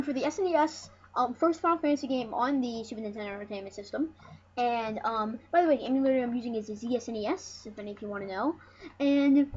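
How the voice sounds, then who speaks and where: A high-voiced speaker giving casual let's play commentary as host of a retro gaming series, rambling and often restarting phrases. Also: a little mellow, husky. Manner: rambling, repeats and corrects phrases. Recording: muffled, noisy home recording.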